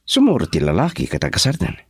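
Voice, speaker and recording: A man playing a lovelorn young man in a radio drama, speaking softly with a yearning tone. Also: fairly low pitch, huge pitch swings, fast, slightly rough, dry recording, exaggerated voice. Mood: earnest. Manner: soft, hushed, yearning delivery. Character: lovelorn young man pining for his sweetheart.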